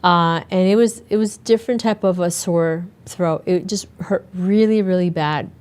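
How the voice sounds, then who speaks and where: A middle-aged woman hosts a solo podcast in a slightly low, raspy voice that is still hoarse from being sick. She talks in an earnest, conversational way and often circles back to restart a thought. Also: normal speed, darkish tone. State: hoarse after a recent illness. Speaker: podcast host and naturopathic doctor. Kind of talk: casual solo podcast talk.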